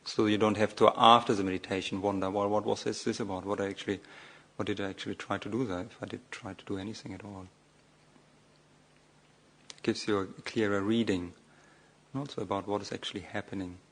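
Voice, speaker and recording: A man giving a guided meditation as a monk, speaking off the cuff in short, measured phrases with long, hesitant pauses. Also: fairly low pitch, level tone, fairly bright tone.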